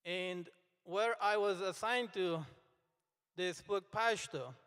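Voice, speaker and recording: High, slow man speaking in an echoing hall. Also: a bit bright, smooth. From Afghanistan. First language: Dari. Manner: earnest, halting, with restarts. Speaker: commencement speaker. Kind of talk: speech to graduates. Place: large hall. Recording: echoey hall, long reverb.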